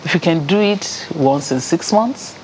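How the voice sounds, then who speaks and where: A woman, a professor on a TV panel, giving measured advice. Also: fairly low pitch, lively intonation, a little hiss or hum, low-quality recording. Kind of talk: studio discussion.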